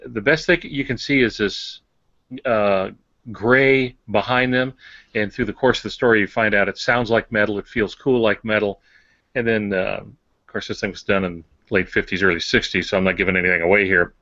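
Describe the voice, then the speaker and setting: Bright-voiced older American man, fairly low pitch. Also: fairly even intonation, moderate pace, no room sound, dull audio. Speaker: podcast co-host on fiction writing. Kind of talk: casual instructive discussion.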